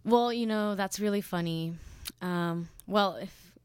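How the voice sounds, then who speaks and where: A woman's voice: medium pitch, takes it slowly, darkish tone, smooth, no room sound. A young woman from Peru, a student guest, speaking earnestly with some fillers. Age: young adult.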